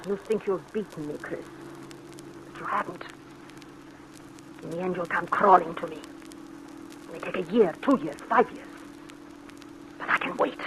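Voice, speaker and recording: An actress playing a possessive, jealous wife in an intense, theatrical old-time radio drama style. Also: female voice, on the low side, measured pace, long pauses, noisy background. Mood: coldly menacing.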